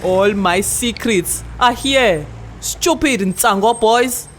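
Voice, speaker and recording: Lady doing a drunk old south african tramp, bitter n rambling, loud and slow, bit of noise behind. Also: a little high, pitched above the performer's own voice. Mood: bitter, boastful. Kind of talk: acted short story reading.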